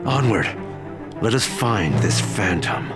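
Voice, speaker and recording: A man playing a grave, mysterious adventurer in a radio drama, speaking in a measured, theatrical, formal way. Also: fairly low pitch, animated. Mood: resolute. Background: dramatic music.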